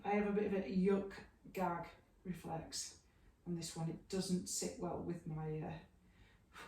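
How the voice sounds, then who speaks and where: A woman teaching a yoga class, giving slow, soothing guidance through stretches and a guided relaxation. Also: fairly low pitch, steady tone, dark tone. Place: room, recorded at a distance from the camera mic. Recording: echoey room, mic at a distance, faint hiss.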